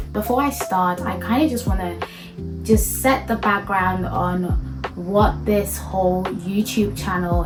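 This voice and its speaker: Young woman, nigerian accent with british touches, lowish voice, chatty vlog talk, music underneath. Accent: Nigerian with British influence. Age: 20s. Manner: chatty, animated, quick bursts with playful emphasis. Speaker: medical student vlogger. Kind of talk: casual direct-to-camera chat.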